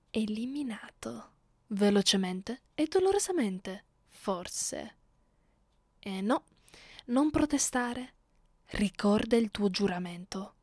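A woman voicing a haughty, cruel, centuries-old vampire mistress in an ASMR roleplay, imperious and taunting in a measured way. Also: medium pitch, a little mellow, no room sound, clean studio sound. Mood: cold threat.

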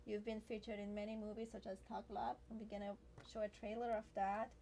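A woman's voice: medium pitch, flat delivery, fast talker, gravelly, mellow, a little hiss or hum. A woman hosting a studio talk show, introducing a guest in a bubbly way with frequent ums. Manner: bubbly, says "um" a lot. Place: large television studio set.

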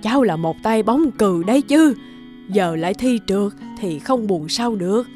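A woman's voice: mid-range voice, lively intonation, moderate pace, darkish tone, faint music behind, natural voice. A woman playing a caring old grandmother in a cartoon, who chides, teases and reassures. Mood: chiding, reassuring. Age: older. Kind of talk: cartoon dubbing.

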